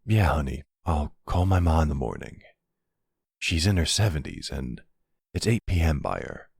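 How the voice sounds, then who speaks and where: A man playing a gentle older mafia man and devoted partner, speaking softly and reassuringly in an intimate roleplay. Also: very deep voice, sing-song, moderate pace, creaky, slightly rough, no echo, clean studio sound, full character voice. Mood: soothing, vulnerable. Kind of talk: intimate ASMR boyfriend roleplay.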